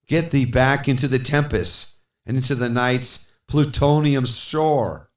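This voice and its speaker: A man's voice: mid-range voice, steady tone, a little slow, voice pushed up, lightly acted. A man playing a grief-stricken, haunted man in a gothic poem, theatrical and emphatic as he pleads and rages at a raven. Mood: shrieking rage. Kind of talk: dramatic gothic poetry recitation. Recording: echoey, reverberant voice.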